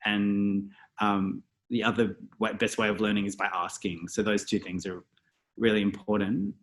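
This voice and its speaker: Give me a thoughtful Australian man with a fairly low voice, chatting at an easy pace. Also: flat delivery, normal speed, fairly bright tone. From Australia (Melbourne). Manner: reflective, thinks aloud with many "um" and "you know". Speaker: guest, stylist and photographer. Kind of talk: casual interview chat.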